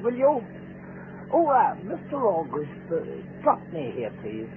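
Eerie, high-voiced courteous stranger, old radio. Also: male voice, wildly swooping pitch, full character voice. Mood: polite, ominous. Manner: polite, measured, cryptic. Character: eerie, courteous mysterious stranger personifying death. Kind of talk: 1940s radio mystery acting. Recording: noisy, tinny old-time radio recording.

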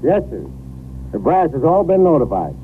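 An actor playing a dutiful, alert police officer reporting to superiors in a 1950s radio drama. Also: male voice, on the high side, very animated, normal speed. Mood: urgent, dutiful. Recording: narrow-band, noisy 1950s radio recording.

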